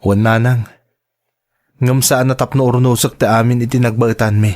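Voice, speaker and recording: A man's voice: mid-range voice, level tone, moderate pace, a little mellow, close to an everyday voice. A man playing a troubled grown son seeking the truth about his sweetheart, earnest and dramatic, in a radio drama. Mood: troubled, resolute. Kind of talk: radio drama dialogue and inner monologue.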